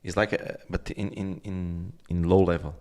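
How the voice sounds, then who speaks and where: A middle-aged Portuguese man speaking English as a second language, halting and repeating words while he searches for them. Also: low voice, level tone, darkish tone. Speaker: real estate expert guest. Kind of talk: casual conversation.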